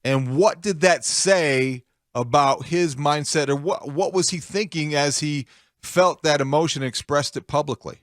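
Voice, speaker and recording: A man's voice: on the high side, unhurried, dry recording, clean studio sound. A man hosting a sports radio show, laying out analysis and putting questions to a guest. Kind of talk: interview questioning with game analysis.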